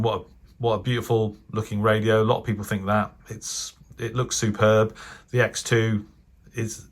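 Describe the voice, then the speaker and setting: A British man giving an unscripted product review as a hobbyist host, speaking in a frank, hesitant and measured way. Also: on the low side, fairly bright tone. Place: indoors, off camera at a desk.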